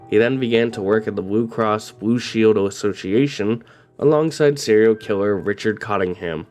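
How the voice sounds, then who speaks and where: A man with a low voice narrates a true crime story in a somber, steady way. His voice is slightly raspy from a cold that is just starting. Soft music plays faintly underneath. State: coming down with a cold. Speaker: host.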